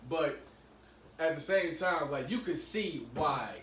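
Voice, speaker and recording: A young man hosting a casual podcast chat, rambling and halting, often restarting his sentences. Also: on the high side, expressive, a bit bright. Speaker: podcast host. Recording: echoey room, mic at a distance, faint hum.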